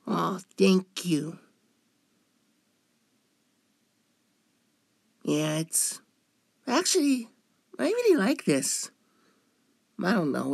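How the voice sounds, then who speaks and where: A man's voice: a little high, sing-song, slow pace, vocal fry, no echo, clean studio sound, full character voice. A young man playing a cute, shy, playful femboy in an ASMR roleplay, coy and hesitant, drawing out his words. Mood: excited, bashful.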